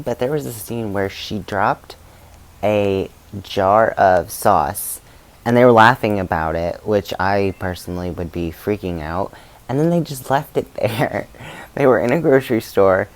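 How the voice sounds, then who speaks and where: A man talking in a chatty, informal and halting way, giving casual opinions as a book vlogger. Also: on the low side, expressive.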